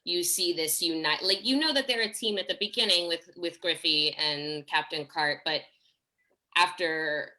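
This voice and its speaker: A woman's voice: fairly low pitch, normal speed, sharp tone. A woman speaking effusively and enthusiastically in a casual discussion, as a theater director and teacher.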